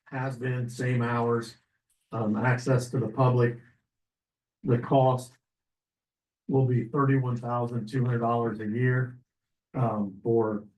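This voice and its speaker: A man losing his voice, presenting agenda items at a public meeting in a businesslike way, clearing his throat often. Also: fairly low pitch. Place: county meeting room. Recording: echoey meeting room, distant mic.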